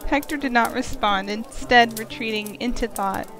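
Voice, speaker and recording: A woman's voice: on the high side, moderate pace, fairly bright tone. A woman reading scripted fantasy story narration as the narrator of a visual novel. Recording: voice under prominent music.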